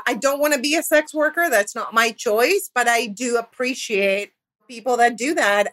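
Woman comedian from argentina, middle-aged, bright highish voice, sweary and animated casual chat. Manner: animated, profane, jokey. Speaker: guest, comedian.

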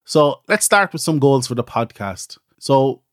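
A man's voice: medium pitch, lively intonation, measured pace, no echo, clean studio sound. A middle-aged Irish man hosting his own podcast in a casual, rambling, self-deprecating chat full of "so" and "you know". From Ireland. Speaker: podcast host.

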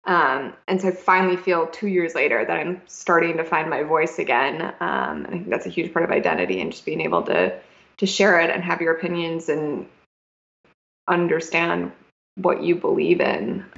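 A young adult woman hosting a podcast, musing in a thoughtful, halting way with many fillers and restarts. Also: low-pitched, gravelly, a bit bright. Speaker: podcast host. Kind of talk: reflective casual conversation. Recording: echoey, dull lo-fi room sound.

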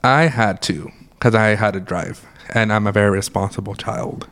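A young man co-hosting a casual podcast, talking with a raspy just-woke-up voice and joking and laughing as he tells stories. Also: on the low side, normal speed, fairly bright tone. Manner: joking, laughs often, rambling storyteller. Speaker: podcast co-host. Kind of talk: casual chat.

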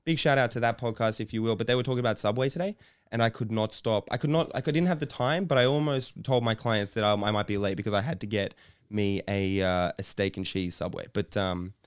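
A man's voice: medium pitch, smooth, no echo, clean studio sound. A man hosting a casual podcast chat, joking and rambling, with some swearing. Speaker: podcast host.

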